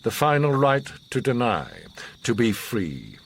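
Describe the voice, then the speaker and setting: An actor playing a grave, commanding, enigmatic older war survivor in a radio drama, speaking in a measured, solemn, philosophical way. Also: male voice, mid-range voice, huge pitch swings, creaky, slight background noise, exaggerated voice. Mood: impassioned. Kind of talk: radio drama monologue and dialogue.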